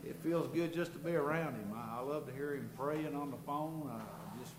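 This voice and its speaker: Man in a church, testimony. Higher-pitched, congested and nasal, earnest and halting; echoey room with steady noise behind. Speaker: congregation member giving testimony. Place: church sanctuary.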